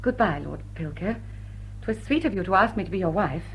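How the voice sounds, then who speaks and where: An actress playing a witty woman thief among English high society in a radio drama, coolly polite and mock-innocent. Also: expressive, brisk, gravelly, slight background noise, poor audio. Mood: polite, mock-regretful. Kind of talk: classic radio drama acting.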